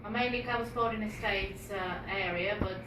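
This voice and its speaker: A woman's voice: mid-range voice, fairly even intonation, normal speed. A woman whose first language is Bulgarian, a police community support officer talking informally to a community group, with many fillers and restarts. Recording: echoey room, distant mic, noisy.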